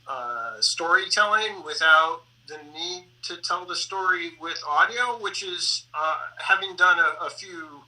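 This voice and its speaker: A middle-aged man speaking conversationally as a sound designer, in halting phrases full of pauses and self-corrections. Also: fairly high pitch, sharp tone, recorded from a distance, poor audio. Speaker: sound designer and composer for radio plays. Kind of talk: conversational panel talk about theater production.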